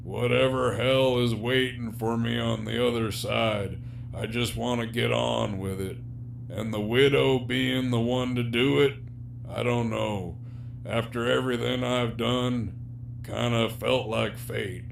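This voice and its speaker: A man's voice: medium pitch, steady tone, measured pace, vocal fry. A man acting in an audio drama as a jaded, sardonic, despairing prisoner villain with a profane, wry, teasing drawl. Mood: bleak, weary. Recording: faint background hum and noise.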